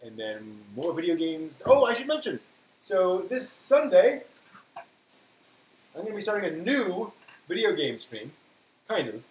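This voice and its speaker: A man's voice: high voice, very animated, a little slow, pauses often. Offhand man in an echoey room. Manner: offhand, thinking aloud. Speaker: live stream host. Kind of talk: casual live stream chat. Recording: roomy echo, faint hiss.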